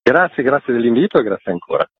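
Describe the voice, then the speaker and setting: A man's voice: mid-range voice, sing-song, quick pace, over music. A man explaining things in long, detailed sentences as an interviewed association spokesman, speaking over a remote call. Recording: muffled phone-like line.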